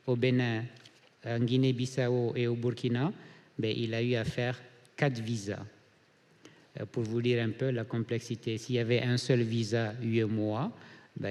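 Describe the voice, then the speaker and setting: A man from Mali speaking on a conference panel as a hotel group founder, measured with frequent hesitations. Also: medium pitch, a little mellow. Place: conference hall. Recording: reverberant conference hall.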